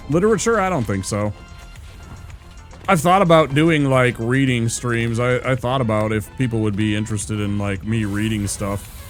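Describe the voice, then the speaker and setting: A man's voice: mid-range voice, moderate pace, soft background music. A man streaming a video game live, chatting casually with his viewers and musing aloud as he plays. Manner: relaxed, chatty, muses aloud to chat. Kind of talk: casual live-stream chat while playing.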